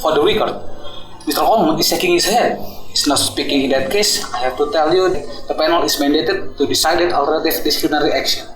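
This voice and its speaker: A man's voice: fairly high pitch, fast talker, a bit bright, faint music behind, close to an everyday voice. A man playing a formal, procedural disciplinary panel chairman in a radio drama. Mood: stern, formal. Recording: echoey, distant from microphone.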